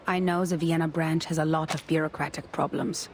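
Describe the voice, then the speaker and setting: A woman's voice: sits low, steady tone, brisk, slight background noise. An actress playing a composed, businesslike woman investigator who briefs in a formal, matter-of-fact way in a game cutscene. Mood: composed, serious. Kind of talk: scripted game cutscene dialogue.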